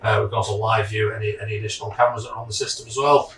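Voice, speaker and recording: A man's voice: lowish voice, level tone, rapid-fire, fairly bright tone, slight background noise. A man giving an informal product demonstration, explaining things in a practical, matter-of-fact way. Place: indoors, echoey room. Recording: echoey room, distant microphone.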